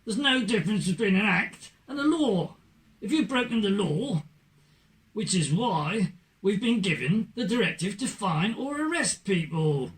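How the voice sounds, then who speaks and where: A performer puts on an officious police officer for a puppet show, stern and insistent. The delivery swings widely in pitch and sits a little far from the microphone. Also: expressive, unhurried, natural voice. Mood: stern, dismissive. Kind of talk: scripted puppet show dialogue.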